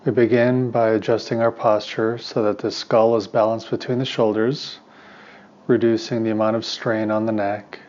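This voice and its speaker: A man leading a guided meditation as a teacher, speaking in a calm, unhurried, soothing way with long pauses. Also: fairly low pitch, muffled recording.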